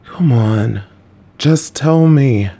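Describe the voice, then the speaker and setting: A man's voice: mid-range voice, lively intonation, drawn-out words, mellow, full character voice. A man voicing a patient, slightly clueless, supportive middle-aged father who coaxes and reassures his child, with jokey asides. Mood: upset, protective. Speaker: voice actor in an audio roleplay. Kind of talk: listener-directed audio roleplay.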